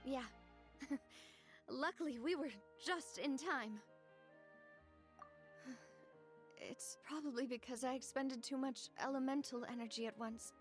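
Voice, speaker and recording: A woman playing a gentle, earnest and resolute young leader in an anime game, speaking in a measured way. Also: sits high, soft background music. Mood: weary. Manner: measured, earnest, soft pauses.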